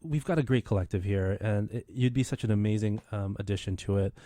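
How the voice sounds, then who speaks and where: Excited man hosting a radio show. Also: fairly low pitch, a little fast, dry recording, clean studio sound. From Philippine heritage. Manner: enthusiastic, rambling with many restarts. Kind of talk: casual radio interview.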